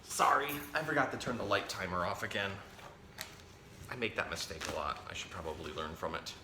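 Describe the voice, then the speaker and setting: A man hosting a children's storytime, reading a picture book aloud in a playful, expressive way and talking directly to young listeners. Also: sits low, brisk. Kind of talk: animated read-aloud of a children's picture book with chatty asides to a pet parrot. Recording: roomy echo, faint background noise.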